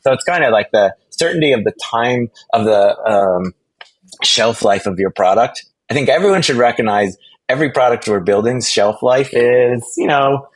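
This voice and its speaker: A man speaking casually as an interview guest, animated and emphatic, rambling through false starts and repeated words. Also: medium pitch, moderate pace, rough recording. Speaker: interview guest, product executive.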